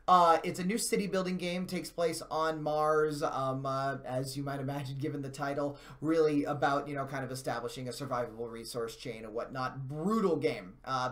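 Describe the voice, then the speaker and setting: Man, medium pitch, chatty stream host, casual gaming talk, self-mocking, occasional swearing. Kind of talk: casual gaming news commentary.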